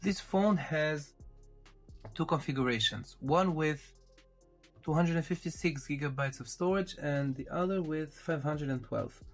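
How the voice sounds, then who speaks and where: A man with a somewhat high-pitched voice narrates a casual unboxing as a tech reviewer. He explains each item step by step, pausing briefly as he goes. Faint music plays behind his voice.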